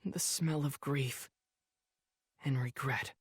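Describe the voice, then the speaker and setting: A man's voice: mid-range voice, slow pace, breathy edge, no room sound, studio quality. An actor playing an earnest, compassionate teenage boy swordsman who narrates his memories reflectively in a video game. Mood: somber. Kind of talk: scripted narration recounting memories.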